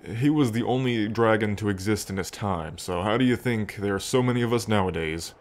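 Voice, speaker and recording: A man playing a fond, reflective dragon king in an intimate ASMR roleplay, musing aloud to the listener with pauses. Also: lowish voice, lightly acted. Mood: amused. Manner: unhurried, musing, pausing, softly chuckling between thoughts. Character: adult male dragon king, fond, reflective, proud of ancestors.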